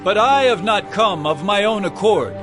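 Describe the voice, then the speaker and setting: An impassioned male teacher in an audio Bible drama. His voice sits fairly high and moves with lively swings, earnest and emphatic as he preaches with authority. Clear music plays behind him. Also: moderate pace. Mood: earnest, firm.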